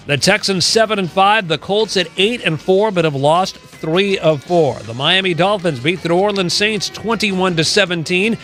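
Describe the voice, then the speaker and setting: A man with a high, bright voice hosts a sports radio update. He reads scores in a scripted announcer style over a faint music bed. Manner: announcer delivery.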